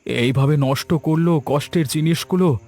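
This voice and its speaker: A man's voice: fairly high pitch, moderate pace, darkish tone, natural voice. A man voicing a concerned village husband in a cartoon drama, asking questions with sympathy.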